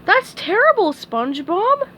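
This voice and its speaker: A woman's voice: very high-pitched, sing-song, unhurried, sharp tone, slight background noise, pitched above the performer's own voice, heavily acted. Woman doing a folksy, kind squirrel gal. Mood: concerned. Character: friendly, folksy female squirrel.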